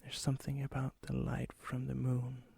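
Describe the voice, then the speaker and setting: A man playing a lonely, gently teasing vampire in an ASMR roleplay, with a soft, breathy voice that often drops into a whisper. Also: medium pitch, monotone, no echo. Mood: hushed, mysterious. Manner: slow, intimate, hesitant, gently teasing.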